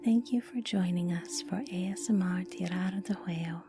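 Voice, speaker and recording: A woman whispering a scripted ASMR podcast outro in a breathy voice, with music behind her. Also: lowish voice, moderate pace. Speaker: podcast announcer.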